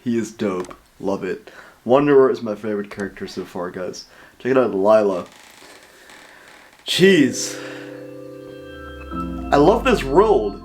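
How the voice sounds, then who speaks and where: Excitable young man. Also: fairly low pitch, a little slow, pauses often, soft background music. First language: Dutch. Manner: excitable, swears, lots of fillers. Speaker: reaction video host. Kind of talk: casual reaction commentary.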